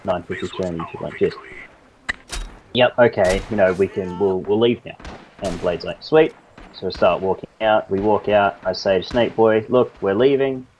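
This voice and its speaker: A man telling a loose, halting, sweary anecdote to friends in a casual voice chat. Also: deep voice, darkish tone. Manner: loose, halting, repeats himself. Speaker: storyteller in a group voice chat. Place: online voice chat. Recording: noisy voice-chat mic with clicks.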